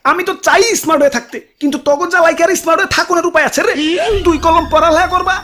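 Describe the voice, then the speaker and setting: A man's voice: very high-pitched, fairly even intonation, racing, bright, forceful tone. A man voicing a touchy, boastful city come to life in a comic cartoon, scolding in exaggerated Dhaka dialect over music. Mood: defensive, boastful. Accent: Bangladeshi, Dhaka dialect.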